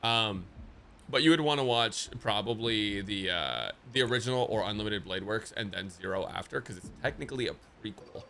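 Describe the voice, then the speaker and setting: A man's voice: on the low side, lively intonation, fairly quick, gravelly, sharp tone, a little hiss or hum. A man livestreaming a game reaction, talking casually to his chat in a rambling, sweary, slangy way.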